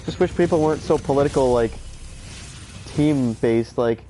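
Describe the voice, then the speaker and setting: A man's voice: mid-range voice, moderate pace, low-quality recording. Brief: thirties guy streaming a game, stuffy, rambling, swearing, game music faintly behind. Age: 30s. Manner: rambling, profane, opinionated. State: stuffy, short on sleep. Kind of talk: casual gameplay chatter.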